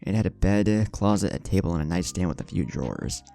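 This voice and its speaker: Deep-voiced man narrating fan fiction. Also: expressive, fast talker, vocal fry, warm tone. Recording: music under the voice.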